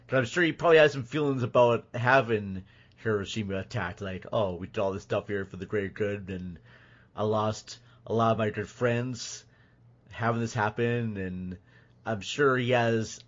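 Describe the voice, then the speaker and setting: A man hosting a podcast, chatting casually about films in a rambling, halting way. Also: fairly low pitch. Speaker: podcast host. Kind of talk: casual film discussion. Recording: slightly muffled audio.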